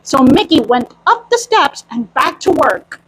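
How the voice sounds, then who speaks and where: Very high-pitched, playful woman storyteller. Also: big pitch swings, measured pace, a bit bright, a little hiss or hum, poor audio. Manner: childlike playful role-play as a cartoon mouse girl. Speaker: storyteller in costume. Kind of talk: in-character storytime reading and chat.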